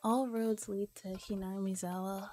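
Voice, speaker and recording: A soft-spoken woman streaming a relaxed ASMR read-aloud of a visual novel with casual asides, often dropping to a whisper. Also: mid-range voice, unhurried. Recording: music behind the voice. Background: background music.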